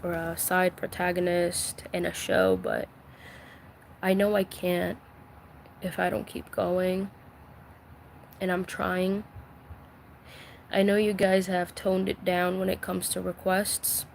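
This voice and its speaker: A woman speaks very slowly on a live stream from her bedroom, pausing often and restarting her sentences. Her tone is soft and confiding, close to tears, and a faint hum of room ambience sits behind her. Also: fairly low pitch, creaky. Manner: slow, halting, many fillers and restarts. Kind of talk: emotional live-stream vent.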